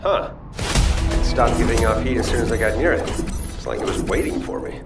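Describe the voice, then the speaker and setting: An actor playing a cocky, playful fire-wielding cook and fighter in a video game, with a flippant, teasing way of talking. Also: male voice, medium pitch, normal speed, close to an everyday voice. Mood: confident. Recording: loud game music behind the voice.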